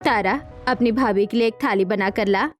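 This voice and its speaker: A woman voicing a caring middle-aged mother-in-law in a story, soothing, coaxing and gently bossy. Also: medium pitch, moderate pace, faint music behind, pitched below the performer's own voice, lightly acted. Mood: concerned.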